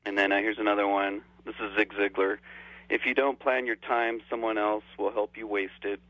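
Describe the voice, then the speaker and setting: A male radio caller with a low voice, calmly reading out a few quotes. He comes through a dull, muffled phone-in line that sounds dry and close. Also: normal speed, no echo.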